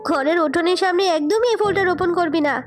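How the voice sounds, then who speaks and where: A woman's voice: extremely high pitch, fast talker, over music. A woman playing a stern, suspicious mother in a children's cartoon story. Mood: scolding.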